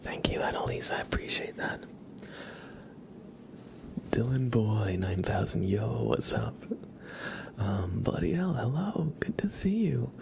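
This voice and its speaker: A man hosting an ASMR livestream, speaking to viewers in soft, drawn-out whispers. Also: lowish voice, animated, creaky, a little hiss or hum. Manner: soft whispering, spelling names out slowly letter by letter.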